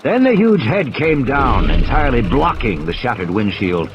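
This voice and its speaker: A man narrates a thriller audiobook with a very lively, varied pitch at a middle register. Rain and storm sound effects run clearly behind his dramatic reading. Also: moderate pace, dull audio, very noisy. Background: rain, vehicle and ambience sound effects.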